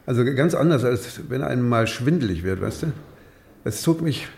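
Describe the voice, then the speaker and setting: Late-fifties patient, medium-pitched voice, wry, hesitant, colloquial, self-deprecating, naturalistic radio drama. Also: male voice, animated, moderate pace, vocal fry, a little mellow. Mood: wry, animated. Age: 50s. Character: wry 59-year-old editor recovering from a stroke in hospital. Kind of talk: naturalistic German radio play acting.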